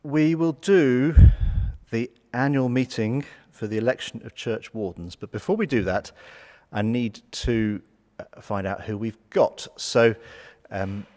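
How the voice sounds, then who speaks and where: A man's voice: medium pitch, measured pace, darkish tone. A man chairing a church meeting, giving chatty, informal notices with many ums and asides to a congregation in a large stone church. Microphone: far fixed camera view. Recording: long church reverb.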